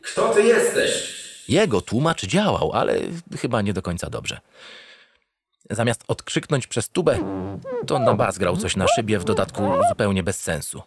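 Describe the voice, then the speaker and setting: A man's voice: fairly low pitch, sing-song, moderate pace, husky. A man narrating a sci-fi comedy audio drama in a theatrical, playful way, as a cheerful, self-satisfied, dim-witted spaceship captain. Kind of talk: comedic first-person scripted narration.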